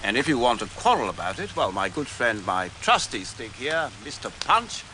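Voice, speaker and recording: An actor playing a menacing, mock-courteous looter who poses as an official in a radio drama. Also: male voice, medium pitch, sing-song, moderate pace, sharp tone, a little hiss or hum. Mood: gloating menace. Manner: mock-courteous, relishing his threats.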